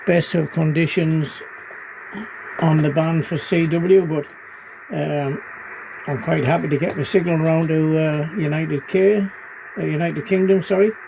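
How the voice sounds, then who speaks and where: A man from New Zealand, an amateur radio operator, talking casually and haltingly as he demonstrates his station. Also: on the high side. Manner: halting, hesitant. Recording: clear radio static and background noise. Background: amateur radio receiver noise.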